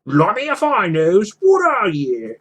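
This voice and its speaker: A big gluttonous storybook troll, played by a man reading aloud. He blusters in coarse rustic slang, boozy and gruff yet soft-hearted underneath. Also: high-pitched, huge pitch swings, measured pace, voice pushed up, heavily acted. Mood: astonished. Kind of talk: storybook character voice.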